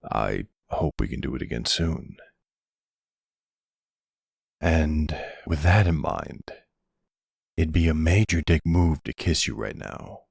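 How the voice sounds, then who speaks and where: Man acting as suave middle-aged mob boss, very deep, gravelly, intimate, flirtatious, ASMR roleplay. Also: animated, slow, pauses often, darkish tone, no echo, clean studio sound, full character voice. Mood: tender.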